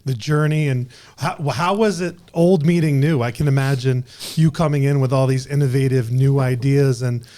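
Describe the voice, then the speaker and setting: Male podcast host, mid-pitched, mellow, encouraging, casual interviewer. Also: steady tone, moderate pace. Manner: encouraging, laughs along.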